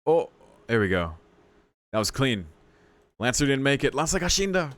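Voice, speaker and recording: A man's voice: medium pitch, sing-song, normal speed, fairly bright tone. Exclamatory man commentating on a game. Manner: exclamatory, mock-exasperated reactions to the game. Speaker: gaming video host. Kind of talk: casual gameplay commentary.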